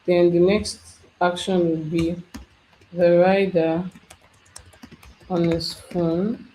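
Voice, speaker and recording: Instructor, mellow tone, faint background hiss, step-by-step explaining. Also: medium pitch, flat delivery, very slow, low-quality recording. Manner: explains step by step, thinking aloud. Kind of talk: screen-share software tutorial.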